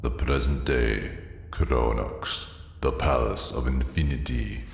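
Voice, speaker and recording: A man giving scripted dramatic scene narration as an announcer, in a very deep, creaky voice. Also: slow pace, loud music behind the voice, full character voice. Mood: portentous. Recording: echoey hall reverb with loud noise.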